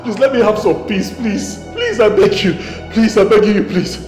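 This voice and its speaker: A man's voice: high voice, animated, normal speed, darkish tone. An actor playing a haunted, self-justifying man in a film drama, stammering and repeating himself in agitation, with a Nigerian accent. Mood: terrified, pleading. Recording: echoey, reverberant hall sound with music.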